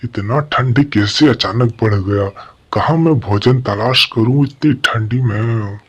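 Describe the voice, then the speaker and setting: A husky, slow-talking male crow from a cartoon fable, played by a man. He is lazy and hungry, whining and lamenting in a plaintive way. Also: medium pitch, highs cut off. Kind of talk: Hindi children's animated fable dialogue.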